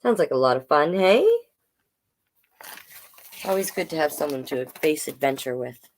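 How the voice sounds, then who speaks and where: A woman hosting a storytime, reading a picture book aloud with chatty, gently encouraging asides to listeners. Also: low-pitched, expressive, normal speed, slight background noise. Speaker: children's storytime reader and host.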